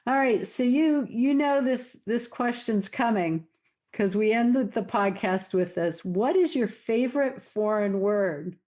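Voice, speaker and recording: A woman's voice: a little high, measured pace, a little mellow. A woman hosting an interview podcast, chatty and enthusiastic, with lots of little interjections. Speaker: podcast host. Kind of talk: casual interview conversation.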